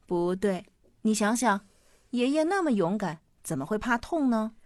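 Radio drama mother, played by a woman: patient, explanatory, medium pitch, close dry recording. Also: very animated, moderate pace, dry recording, close to an everyday voice. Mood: patient, explaining.

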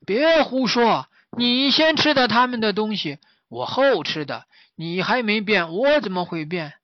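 A storyteller voicing a hungry, boastful old martial arts master in a children's story, with a high, strained voice. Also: sing-song, normal speed, husky, no echo, muffled recording.

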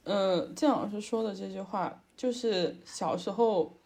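A woman's voice: medium pitch, unhurried, creaky, a little mellow. A young woman in a casual chat among friends, emphatic and repeating words to drive her point home.

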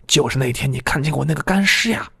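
A man voicing a mild male apprentice in an audiobook, speaking in a low-key way. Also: fast talker, vocal fry, bright, forceful tone, breathy edge, exaggerated voice. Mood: teasing. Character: young male apprentice, mild, secretly a ghost hunter.

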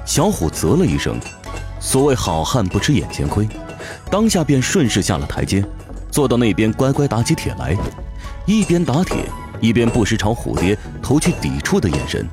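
A man narrating an audio drama in an expressive, theatrical storytelling style, reading from a script. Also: fairly low pitch, moderate pace, music in the background.

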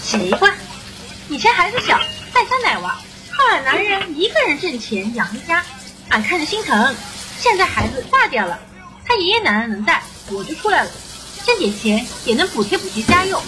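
A woman's voice: high voice, big pitch swings, moderate pace, noisy background. An actress playing a cheerful, grateful rural mother from Henan who helps in a canteen, eager and plain-spoken, in a radio drama. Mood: friendly, grateful. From Henan, China.

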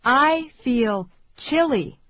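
A woman reading English phrases slowly and deliberately for learners to repeat. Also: high voice, animated, no echo. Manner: slow, deliberate, carefully enunciated. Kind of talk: slow repeat-after-me drill. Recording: dry, tinny phone-like sound.

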